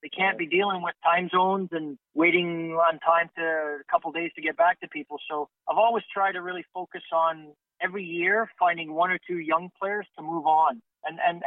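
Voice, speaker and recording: A man's voice: a little high, no room sound. A Canadian man working as a soccer agent, talking earnestly in a casual interview and often repeating words as he starts a thought. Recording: tinny phone line.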